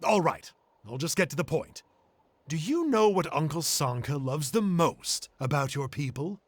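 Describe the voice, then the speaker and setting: A man's voice: on the high side, expressive, a little slow. A man voicing a boastful, theatrical uncle who tells dramatic stories in a video game. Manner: hammy, dramatic storytelling. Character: boastful, theatrical adult male storyteller uncle.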